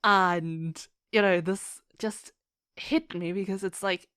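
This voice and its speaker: A woman's voice: medium pitch, fairly even intonation, takes it slowly, a bit bright, smooth, dry recording. Chatty, enthusiastic woman with dry sound. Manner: enthusiastic, rambling, acts out her own thoughts. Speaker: podcast guest, fan fiction writer. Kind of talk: casual interview chat.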